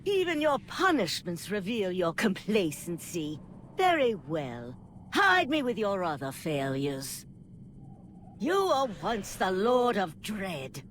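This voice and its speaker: Slow, defiant rebel noblewoman. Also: mid-range voice, very animated, a little hiss or hum. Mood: accusing, defiant. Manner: grave, deliberate, commanding. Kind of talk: video game cinematic acting. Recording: music behind the voice.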